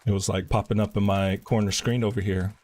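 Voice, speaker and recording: Deep-voiced man streaming a game. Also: flat delivery, brisk, darkish tone. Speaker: live streamer. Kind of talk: casual game stream, reading quest dialogue aloud.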